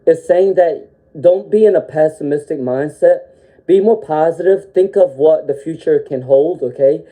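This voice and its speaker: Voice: mid-range voice, normal speed. A tarot reader doing a live-stream reading while fighting a cold, with frequent "um"s and a habit of tagging "okay?" onto sentences. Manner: ends sentences with a checking "okay?"; frequent "um" fillers, gentle reassuring delivery. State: has a cold, sniffling.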